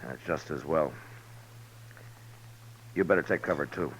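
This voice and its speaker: Deep-voiced, stoic Western marshal. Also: male voice, a little slow. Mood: grimly calm. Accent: American. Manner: terse, stoic, understated. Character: tough, steady frontier marshal, lawman in middle age. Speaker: radio actor. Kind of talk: 1950s radio western acting. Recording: muffled, hissy old radio recording.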